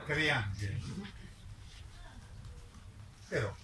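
A man's voice: mid-range voice, expressive. A man giving an informal public presentation, emphatic and polemical, wandering through hesitations and digressions. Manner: halting, digressive delivery with drawn-out hesitations. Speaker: book publisher presenting a literary festival. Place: live public book presentation, recorded from a distance. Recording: distant mic, echoey, clearly noisy ambience.

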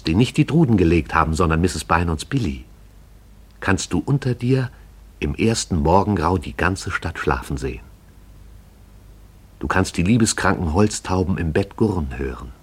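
An actor playing the lyrical storyteller of a radio play, addressing the listener intimately in an incantatory, poetic way. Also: male voice, low-pitched, slightly rough, heavily acted. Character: hushed, lyrical omniscient storyteller of a sleeping town. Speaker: narrator.

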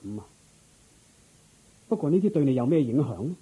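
A man playing a dignified, courteous and curious king in a radio drama, with stately, expressive theatrical delivery. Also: mid-range voice, a little fast, husky, narrow, tinny audio. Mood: astonished. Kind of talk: Cantonese Buddhist radio drama acting.

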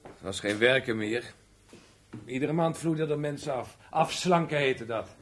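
A man's voice: mid-range voice, a little slow, a bit bright, slight background noise, close to an everyday voice. An actor playing a sullen, grumbling middle-aged tradesman husband in a naturalistic radio play, aggrieved and plain-spoken. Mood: bitter, reminiscing. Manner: grumbling, plain colloquial speech.

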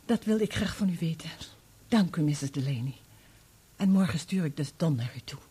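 A woman's voice: low voice, animated, brisk, warm tone. An actress in a Dutch radio play playing a polite, guarded married woman with a reserved, hesitant way of talking. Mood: uneasy, reserved.

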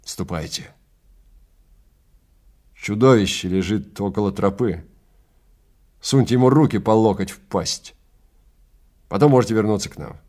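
A man's voice: on the low side, a little slow, pauses often, darkish tone, close to an everyday voice. A man playing a furious, domineering time-safari guide in a science fiction audio drama, barking orders and cursing. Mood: cold, contemptuous.

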